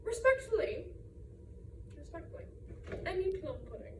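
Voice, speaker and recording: A woman playing a fussy, pompous club-president aunt who repeats herself and clears her throat in a stage monologue. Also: very high voice, sing-song, moderate pace. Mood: officious. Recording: roomy, distant mic, faint noise.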